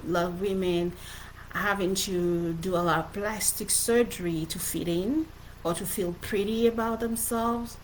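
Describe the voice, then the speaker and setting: A middle-aged woman with a Cameroonian accent talks informally on a livestream in a motivational way. Her medium-pitched voice is earnest and emphatic, and she often restates her phrases. There is faint noise in the recording. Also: rough recording. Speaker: author presenting her book.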